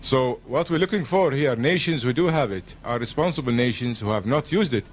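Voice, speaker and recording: A man's voice: mid-range voice, animated, brisk, a little hiss or hum. A man speaking as a radio guest in a formal political discussion, earnest and emphatic, with diplomatic phrasing.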